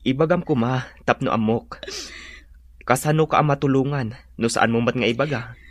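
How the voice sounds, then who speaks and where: A man's voice: medium pitch, normal speed, no echo, close to an everyday voice. A man with an Ilocano accent acting in a radio drama as a concerned, placating young husband, earnest and questioning.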